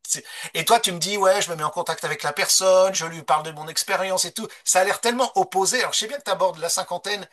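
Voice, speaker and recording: A man interviewing a guest in casual conversational style, engaged and quick to voice agreement. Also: sits high, a bit bright. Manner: engaged, frequent short agreements.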